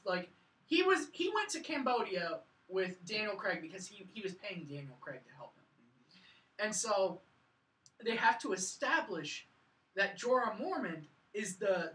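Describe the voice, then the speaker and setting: A woman co-hosting a film review podcast, casually recounting scenes in an animated way, peppering sentences with "like". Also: mid-range voice. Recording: roomy, a bit far from mic.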